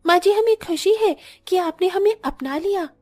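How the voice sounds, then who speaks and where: Woman voicing a high-pitched, sweet young daughter-in-law. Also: moderate pace, a little mellow. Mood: sweet, earnest. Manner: polite, deferential, reassuring. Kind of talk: scripted Hindi moral story voice acting.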